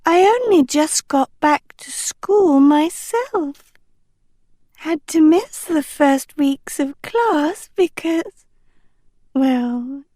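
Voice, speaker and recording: A woman's voice: extremely high pitch, dark tone, dry recording, clean studio sound, pitched above the performer's own voice, heavily acted. A woman voicing a cheerful young princess teacher with a sing-song, chatty manner in an audiobook. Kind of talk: audiobook character voice.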